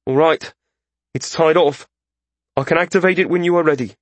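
Man voicing an anxious, formal young mage, pitched up. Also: normal speed, no echo, natural voice. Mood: tense. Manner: formal, careful wording. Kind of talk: audiobook character dialogue.

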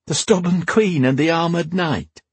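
Storybook warrior queen, played by a male narrator: high, husky, teasing, proud, quick to command. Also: dry recording. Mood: playful, teasing. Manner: playful, teasing, regal when commanding.

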